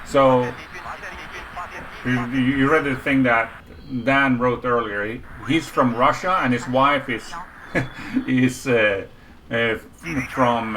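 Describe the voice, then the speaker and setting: Relaxed Swedish-accented male streamer, mid-pitched, noisy stream audio. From Sweden. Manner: relaxed, repeats words and restarts, talks to chat. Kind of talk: casual live-stream chat with viewers.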